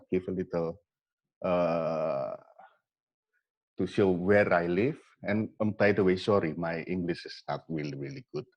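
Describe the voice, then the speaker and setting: A man from Indonesia with an Indonesian accent, presenting his glass work over a video call in halting, polite English. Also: deep voice, a little mellow.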